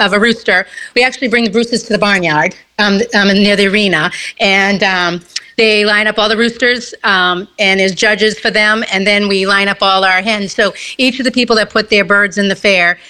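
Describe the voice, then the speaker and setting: Lady explaining her routine step by step, bright clear-cut voice, kinda halting, repeats herself. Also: medium pitch, low-quality recording. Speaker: volunteer organizer being interviewed.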